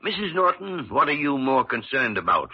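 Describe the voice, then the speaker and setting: Crusty older physician: blunt, sardonic, medium-pitched, vintage radio drama on a muffled recording. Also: male voice, very animated, normal speed, heavy background noise. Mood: brusque, urgent. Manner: gruff, sardonic, impatient. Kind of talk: classic radio drama acting.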